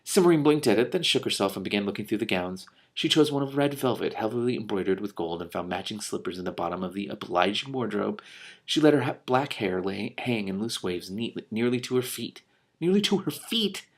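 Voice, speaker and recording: A man reading a storybook aloud as host, breaking in with casual, animated and incredulous asides. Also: on the low side, fairly quick.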